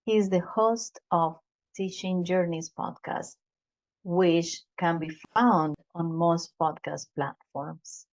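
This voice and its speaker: A woman hosting a podcast interview, speaking deliberately with some fillers and repeats. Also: fairly low pitch, fairly even intonation. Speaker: podcast host. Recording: dull lo-fi sound.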